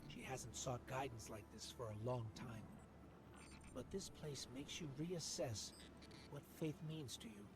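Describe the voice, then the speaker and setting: An actor playing a small, loyal male robot companion who speaks in a thoughtful, gently encouraging way. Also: mid-range voice, expressive, unhurried, background noise, close to an everyday voice. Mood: reflective. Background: music score.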